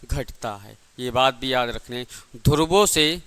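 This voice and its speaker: A man's voice: medium pitch, a little slow, fairly bright tone, clear, resonant tone. A man teaching a lecture in a didactic way, repeating points and asking rhetorical questions. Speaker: physics teacher for exam coaching. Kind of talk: classroom lecture.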